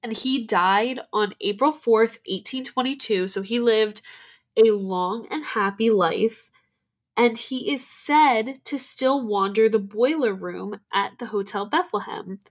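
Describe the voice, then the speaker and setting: A woman's voice: a little high, measured pace. A young woman, nasal from a cold, co-hosting a podcast and telling ghost stories in a chatty way full of "like" fillers. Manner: chatty, many "like" fillers and restarts. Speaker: podcast co-host. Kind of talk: casual chat about ghost stories.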